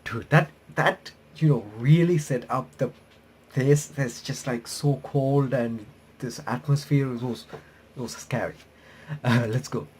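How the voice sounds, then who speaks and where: Male playthrough YouTuber, unhurried and halting, narrating a visual novel over some background noise. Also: mid-range voice, darkish tone. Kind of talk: reading game text aloud with casual commentary.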